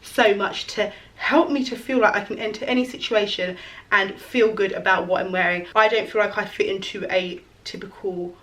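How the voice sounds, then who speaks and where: A woman in her thirties, chatting casually about her clothes as a fashion vlogger, rambling and correcting herself as she goes, her voice going a little hoarse. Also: mid-range voice, fairly even intonation, normal speed, a bit bright, distant mic. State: losing her voice. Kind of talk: casual fashion try-on chat.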